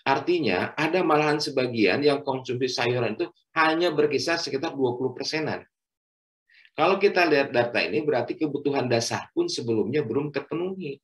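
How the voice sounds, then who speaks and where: Man, middle-aged, doctor, religious study lecture, measured phrasing, frequent fillers, mid-pitched voice. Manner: speaks in measured phrases with many "eh" fillers and "ya" tags; addresses listeners warmly as friends. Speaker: doctor giving a health talk.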